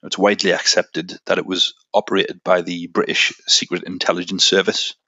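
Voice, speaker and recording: A man's voice: deep voice, little pitch movement, brisk, sharp tone, no echo, lo-fi sound. A man hosting a solo podcast, explaining things informally and talking directly to the listener. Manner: conversational, addresses the listener directly. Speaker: podcast host.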